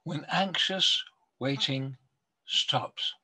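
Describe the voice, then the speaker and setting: An older man, a poet, reciting his own verse deliberately, with long pauses between phrases. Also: a little high, pushed and bright, breathy edge. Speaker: poet reading own poems. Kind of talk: poetry recital.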